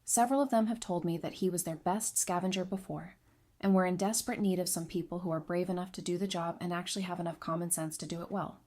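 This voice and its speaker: A woman's voice: fairly low pitch, steady tone, quick pace, a little mellow, lightly acted. Frank, dry-witted woman, voiced by an actress. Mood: thoughtful, frank. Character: candid, dry-witted woman advising a community leader. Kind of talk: fan audio drama acting.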